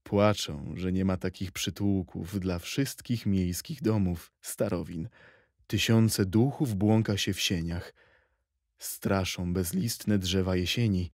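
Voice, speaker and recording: Low, husky man reciting poetry. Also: fairly even intonation, slow pace, a little mellow, no echo, studio quality. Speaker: poetry reader in an audio play.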